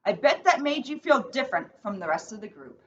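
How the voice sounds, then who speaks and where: A woman voicing a gentle zebra mother in a storybook, encouraging and patient, recorded at a distance. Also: a little high, big pitch swings, brisk. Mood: reassuring. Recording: distant mic, dull sound, faint noise.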